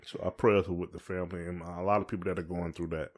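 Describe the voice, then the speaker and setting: A man's voice: low-pitched, little pitch movement, fast talker. An American man co-hosting a podcast, talking in a casual, informal and colloquial way. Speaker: podcast co-host. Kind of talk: casual conversational chat.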